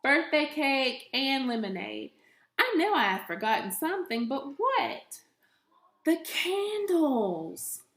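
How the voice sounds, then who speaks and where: A kindly storybook grandmother, played by a woman reading aloud, forgetful and cheerful. She muses and exclaims to herself at a slow pace, pitched fairly high. The room around her is echoey. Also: sing-song, fairly bright tone, heavily acted. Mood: busy, pleased.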